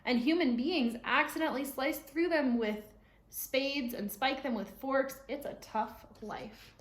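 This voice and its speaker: A woman's voice: high voice, a little slow, pitched above the performer's own voice. A woman voicing an earnest, enthusiastic grandmother in a storybook, explaining patiently and emphatically to a child. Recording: roomy echo, faint background noise.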